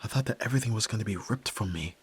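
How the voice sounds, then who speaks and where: A man playing the sensitive, earnest young son of a crime boss in an ASMR roleplay, emotional and often hushed. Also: lowish voice, rapid-fire. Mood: shaken, relieved. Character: Yakuza boss's young son, sensitive, earnest, resolute nerd. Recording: clear background noise and ambience.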